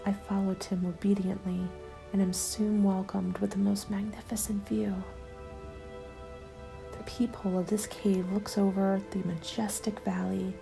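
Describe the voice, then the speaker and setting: A woman reads a scripted ASMR roleplay in a soft, breathy whisper with a creaky edge. Her delivery is hushed and very even, unfolding slowly and deliberately. Music plays clearly behind her. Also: mid-range voice. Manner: slow, hushed, deliberate storytelling. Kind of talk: ASMR scripted first-person story narration. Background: instrumental music.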